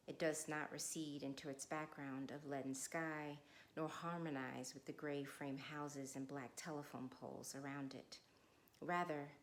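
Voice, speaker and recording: A middle-aged woman speaking with measured, deliberate phrasing, as a guest reader at a literary read-along. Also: very deep voice, slight background noise. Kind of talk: informal introduction, then literary read-aloud.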